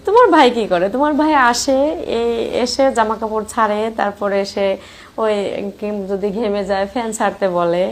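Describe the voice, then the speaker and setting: A woman's voice: mid-range voice, normal speed, natural voice. A woman playing a coy, evasive married sister-in-law in a colloquial phone-call drama, chiding as she talks. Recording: faint background noise.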